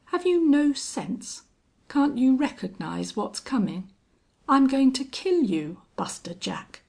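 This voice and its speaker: A steely older gunfighter from a western audiobook, voiced by a woman. He is cold and contemptuous, taunting in a creaky, husky voice with deliberate menace. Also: medium pitch. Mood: menacing. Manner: strange monotone, taunting, cold. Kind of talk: audiobook character acting.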